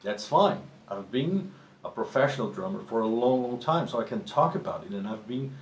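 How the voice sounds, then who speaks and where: A man's voice: medium pitch, moderate pace. Portuguese-speaking man teaching in an echoey room. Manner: relaxed, conversational, with frequent restarts. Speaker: drum teacher. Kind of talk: talking to camera about drumming. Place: drum studio. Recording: echoey room with faint ambient noise.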